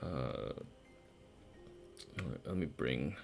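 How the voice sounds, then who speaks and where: A man's voice: very deep voice, lively intonation, very slow, creaky. A man chatting casually on a game stream in a slangy, rambling, profane way. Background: faint game music.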